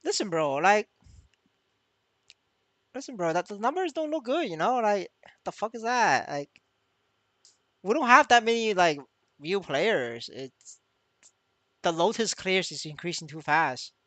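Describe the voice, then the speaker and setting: A man's voice: sits high, very animated, unhurried, long pauses, a bit bright, no echo. A man livestreaming as a gamer in casual chat, stammering, repeating his words and swearing freely. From Vietnamese. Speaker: game streamer.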